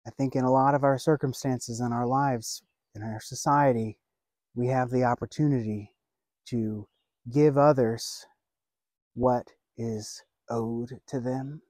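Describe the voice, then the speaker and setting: A man in his thirties preaching a sermon outdoors by a lake, earnest and measured, with deliberate pauses. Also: mid-range voice, fairly even intonation, a little mellow, no echo. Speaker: pastor. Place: outdoors by a lake, seated on a bench.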